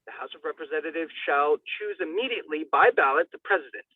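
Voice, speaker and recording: A man's voice: a little high, fast talker, no room sound. A man giving a dramatic, declamatory reading of a formal legal document, stumbling now and then. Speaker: reader. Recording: thin phone-like radio sound.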